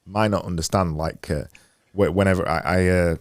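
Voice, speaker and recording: A man in his thirties with a Yorkshire accent, hosting an interview and speaking softly with frequent stumbles and repeated words. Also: sits low, steady tone, no room sound, clean studio sound. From England. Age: 30s. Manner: halting, repeats words, soft-spoken. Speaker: podcast host. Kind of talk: casual video-call interview. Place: home studio room. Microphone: studio mic on boom arm with headphones.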